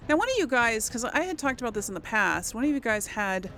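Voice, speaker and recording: A woman's voice: a little high, sing-song, fast, pushed and bright, soft background music. A woman giving casual let's play commentary, hesitantly thinking aloud as she plays. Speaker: gaming video host.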